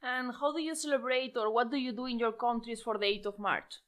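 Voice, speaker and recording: A woman hosts a podcast and leads the talk by asking her guests questions. Her voice is a little high. She sometimes pauses briefly as she goes. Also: fairly even intonation, a bit bright. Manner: leads questions, light hesitations. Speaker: podcast host. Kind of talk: podcast hosting.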